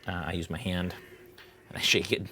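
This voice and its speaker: A man hosting a live miniature painting tutorial, relaxed and chatty, thinking aloud as he paints. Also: sits low, moderate pace, slight background noise.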